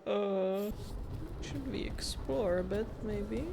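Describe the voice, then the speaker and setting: Slow-paced woman doing casual game commentary. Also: medium pitch, gravelly. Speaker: gamer, video creator. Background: game music.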